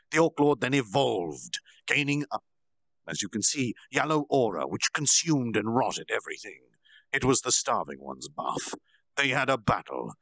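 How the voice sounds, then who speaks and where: Low gravelly guy doing a lively story recap, goes up and down a lot, dry sound. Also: dry recording, poor audio. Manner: lively, dramatic recap with asides and self-corrections. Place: virtual reality social space.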